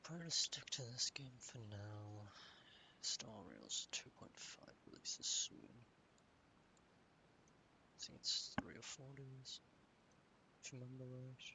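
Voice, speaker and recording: A man's voice: medium pitch, slow pace, vocal fry, pauses often, sharp tone, slight background noise, low-quality recording. A man giving casual, rambling video game commentary, half muttering to himself as he plays. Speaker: gaming streamer. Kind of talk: casual solo gameplay commentary.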